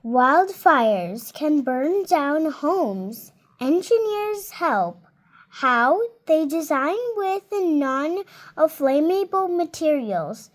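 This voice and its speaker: A woman's voice: sits high, sing-song, extremely slow. A seven-year-old girl reading a children's nonfiction book aloud carefully, word by word, adding her own small comments. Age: child, 7 years old. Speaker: child reader.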